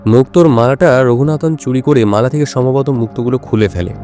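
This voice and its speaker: A male actor playing a shrewd, self-assured detective in an audio drama, speaking in a measured, explanatory way. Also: medium pitch, mellow, soft background music, close to an everyday voice. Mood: measured, deductive. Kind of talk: Bengali audio drama dialogue.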